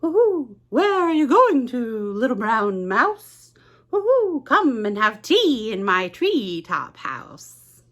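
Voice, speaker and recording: A woman voicing a hooting owl in a picture book read aloud, with a high, sing-song delivery. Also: sing-song, slow pace, fairly bright tone, exaggerated voice. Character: hooting wise old owl.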